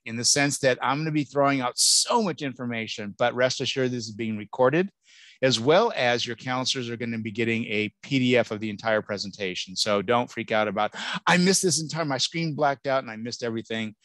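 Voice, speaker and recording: A man's voice: mid-range voice, expressive, brisk, a bit bright, dry recording. A middle-aged man from Southern California giving a chatty, reassuring admissions talk to parents over a video call, with jokes and acted-out quotes. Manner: chatty, reassuring, jokey, acts out quoted voices. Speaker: college admissions speaker. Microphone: computer mic on a video call.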